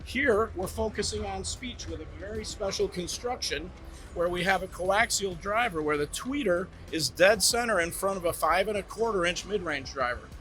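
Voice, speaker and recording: Man, brand rep, eager sales pitch, lively explaining, trade show demo room, high-pitched. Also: normal speed, soft background music. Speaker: brand representative demonstrating home theater gear. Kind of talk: product demo talk.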